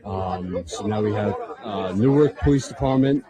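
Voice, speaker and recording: A man's voice: fairly low pitch, normal speed, lo-fi sound, over music. Hesitant man reporting from a crowd. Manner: hesitant, full of fillers. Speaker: on-the-scene reporter. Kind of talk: live street commentary. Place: outdoors at a protest site. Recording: outdoor live stream with crowd noise.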